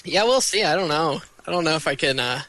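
A man's voice: on the high side, lively intonation, brisk, bright, forceful tone, dry recording. A man in casual chat, answering briefly with short reactive remarks.

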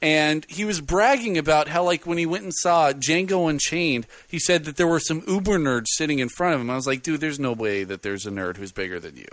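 A man in casual chat, reviewing a movie with a loud voice, rambling and full of fillers. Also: a little high, fairly quick, hardly any pauses, no echo. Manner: rambling, animated storyteller with many fillers. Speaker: podcast co-host reviewing a film.

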